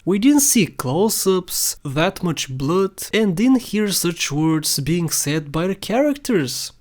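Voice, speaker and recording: A narrator reading scripted commentary for a video game countdown list. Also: mid-range voice.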